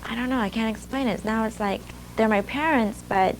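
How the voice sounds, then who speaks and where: A young woman talks in a soft, halting, reflective way, with a gravelly fry running under many of her words. Her voice comes from an older video clip, with a faint hiss behind it. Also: fairly high pitch, low-quality recording. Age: young adult. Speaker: former student telling her story in a video. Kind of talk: personal testimony. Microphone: archival video clip played during a webinar.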